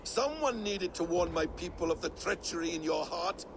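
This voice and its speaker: An actor playing a sly, vengeful trickster god in a video game, theatrical, taunting and smug. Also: male voice, high-pitched, lively intonation, moderate pace. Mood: accusing. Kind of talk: dramatic video game acting. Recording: game cutscene with clear background noise.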